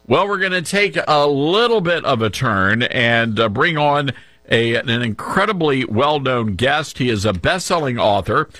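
Male radio host, upbeat interviewer, bright tone, dry close mic. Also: medium pitch, lively intonation, unhurried, dry recording. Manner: upbeat, conversational, joking. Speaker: radio talk show host. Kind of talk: radio show hosting and interview.

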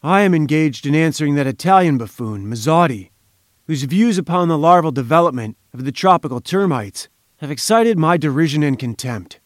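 Man acting a booming, pompous professor. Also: animated, normal speed, no echo, studio quality, close to an everyday voice. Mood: scornful, irritated. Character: blustering, scornful, pompous male professor.

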